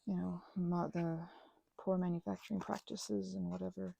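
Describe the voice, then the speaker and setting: Female ASMR rambler, husky and dark-toned, earnest, wandering delivery with plenty of self-correction, close-miked. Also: lowish voice, level tone, normal speed, no room sound. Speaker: host of a craft ramble channel. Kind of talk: unscripted ASMR craft ramble.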